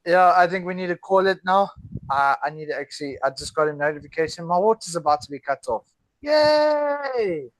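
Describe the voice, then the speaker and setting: A man in his thirties co-hosting a podcast chat, halting and restarting, laughing often. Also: high-pitched, lively intonation, no room sound. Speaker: podcast co-host. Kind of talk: casual chat over a video call. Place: at home in South Africa.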